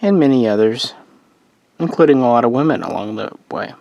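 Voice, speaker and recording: A man's voice: mid-range voice, lively intonation, brisk, gravelly, a little mellow, no echo, dull audio. A man losing his voice, casually narrating a history explainer as host, rambling and correcting himself with offhand asides.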